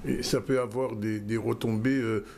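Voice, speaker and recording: A man's voice: on the low side, steady tone, normal speed, vocal fry, a little mellow, a little hiss or hum. A male host casually asking a guest questions on a talk show. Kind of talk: radio talk show interview.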